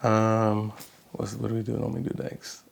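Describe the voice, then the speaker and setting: A man in his thirties co-hosting a marriage podcast in casual talk, halting and restarting as he searches for words. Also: lowish voice, completely flat delivery, creaky. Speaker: podcast co-host, relationship coach. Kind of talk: casual conversational podcast chat.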